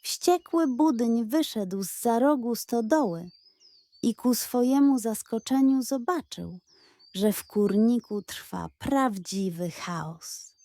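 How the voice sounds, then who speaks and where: Slow-paced woman storyteller for children. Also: on the high side, no echo. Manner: expressive, unhurried storybook delivery. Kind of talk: scripted narration of a children's audio tale.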